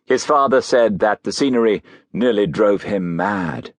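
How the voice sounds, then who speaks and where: A man narrating a biography audiobook in a measured, literary storytelling manner. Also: fairly low pitch, no echo.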